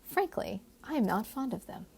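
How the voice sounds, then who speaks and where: A woman plays a scheming young gentleman from a historical romance novel. He is playful and persuasive, speaking in formal phrases with lively pitch and a slight creak. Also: normal speed, exaggerated voice. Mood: gentle, reassuring.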